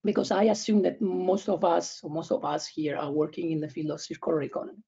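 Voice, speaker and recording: Gravelly man with an Italian-Spanish accent. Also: a little high, flat delivery, warm tone. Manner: halting, many fillers and restarts. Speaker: researcher presenting a seminar. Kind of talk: online academic presentation. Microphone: laptop webcam, video call. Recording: dull lo-fi video call audio.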